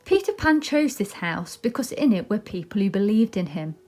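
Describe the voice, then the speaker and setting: A woman's voice: mid-range voice, big pitch swings, normal speed, a little mellow. A woman narrating a children's storybook expressively. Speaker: storybook reader.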